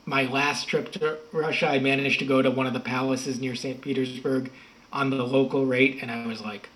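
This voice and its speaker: Chatty man with a bright voice. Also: mid-range voice, flat delivery, normal speed, low-quality recording. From United States. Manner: rambling, self-correcting, chatty. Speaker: podcast guest. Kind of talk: casual interview talk.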